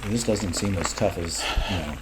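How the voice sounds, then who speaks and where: A man in his sixties giving relaxed, rambling commentary over his mountain bike ride footage, with plenty of fillers. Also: deep voice, normal speed, very noisy. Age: 60s.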